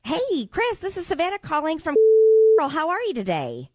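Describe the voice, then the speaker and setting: A woman making a polite, chatty sales pitch on a phone call. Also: wildly swooping pitch, moderate pace, no room sound, studio quality. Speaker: prank caller posing as a florist's agent.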